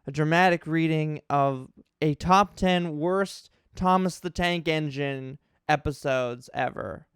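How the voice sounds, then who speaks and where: A man's voice: a little high, takes it slowly, fairly bright tone, clean tone, no room sound, clean studio sound. A man hosting a mock dramatic reading in a theatrical, exaggerated tone of outrage. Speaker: YouTube host reading a list.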